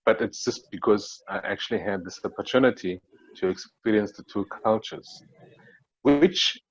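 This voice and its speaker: A South African man speaking on a panel, reflective and halting, with many fillers and restarts. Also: fairly low pitch, highs cut off. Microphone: video call.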